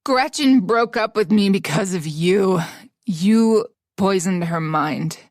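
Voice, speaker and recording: A woman voicing a drunk, enraged man who shouts slow threats through a door. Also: on the low side, no room sound, clean studio sound. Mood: raging.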